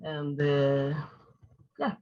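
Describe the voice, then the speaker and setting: A woman in her thirties with a French Canadian accent, chatting casually as a guest with a relaxed manner. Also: low voice, extremely slow, muffled recording. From Quebec, Canada. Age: 30s. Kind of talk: casual chat.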